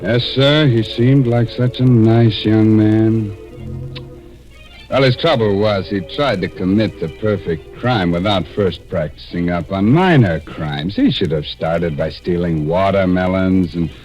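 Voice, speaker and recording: A man's voice: fairly low pitch, unhurried, darkish tone, highs cut off. An American man hosting a 1940s radio horror show with mock-folksy, macabre comic patter. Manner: mock-folksy, ghoulishly jokey. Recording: old 1940s radio recording with music.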